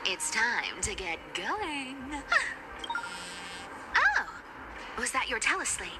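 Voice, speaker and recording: A woman's voice: on the high side, very animated, slow, poor audio. A woman playing a playful, teasing young trickster demigod and treasure hunter in a video game. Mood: breezy, amused. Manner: teasing, breezy, giggly. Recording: music under the voice. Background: game music.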